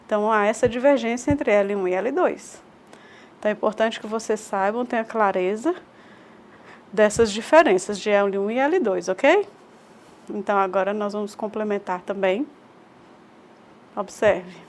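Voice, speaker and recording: A woman's voice: mid-range voice, moderate pace, pauses often, a little hiss or hum. A woman with a Brazilian Portuguese accent giving a recorded online lecture. She explains step by step, poses rhetorical questions, repeats key points and checks in with "ok?". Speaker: teacher.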